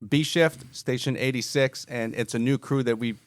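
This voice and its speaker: A man's voice: medium pitch, fairly even intonation, normal speed, no room sound. A man in his forties from Montreal with a Quebec French accent, French his first language, telling stories easygoing as a firefighter-paramedic guest on a podcast interview. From Montreal, Canada. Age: 40s.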